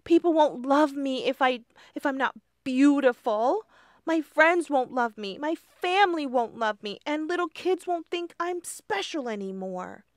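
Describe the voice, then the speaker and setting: A woman voicing a small, worried young female ladybug in a storybook, with a high squeaky voice. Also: sing-song, very slow, dry recording, clean studio sound, exaggerated voice. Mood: distressed.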